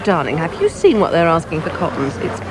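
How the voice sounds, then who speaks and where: A woman's voice: sits low, wildly swooping pitch, a little fast, music in the background. A woman with a British accent playing a gossipy, indignant society lady in a radio drama, gushing and affected. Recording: clear street noise behind.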